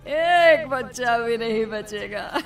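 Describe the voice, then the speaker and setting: A woman playing a boastful, menacing middle-aged banana-seller witch in an animated horror folk tale, with drawn-out threats and cackles. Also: on the high side, over music. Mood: gloating, menacing.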